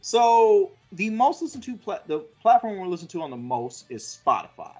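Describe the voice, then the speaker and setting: A man's voice: sits high, big pitch swings, normal speed, faint music behind. A man around forty hosting a casual podcast, rambling through stats with crude jokes and many fillers. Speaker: podcast host.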